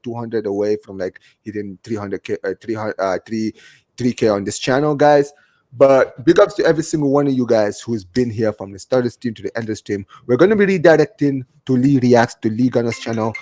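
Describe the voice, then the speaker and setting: A man's voice: medium pitch, darkish tone, no echo. A man hosts a live football show in a mid-range voice with very little room sound. He talks casually and slangily to his viewers. He often repeats and trips over phrases as he reads their comments. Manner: slangy, repeatedly addressing listeners as man, bro and guys; stumbling, repetitive delivery. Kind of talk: casual livestream chat, reading out viewer comments.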